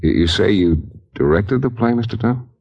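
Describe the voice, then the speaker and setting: A wisecracking private eye. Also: male voice, on the low side, expressive, moderate pace, slightly rough, dull audio. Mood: dry. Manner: dry, wry first-person narration. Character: wisecracking hard-boiled male private eye. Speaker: private detective and narrator. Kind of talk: 1940s radio acting.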